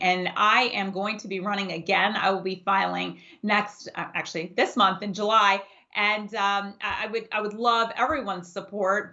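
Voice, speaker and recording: A woman in her forties, a native Farsi speaker raised in the United States, giving steady, emphatic answers as a political candidate in an interview. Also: medium pitch. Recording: dull lo-fi audio.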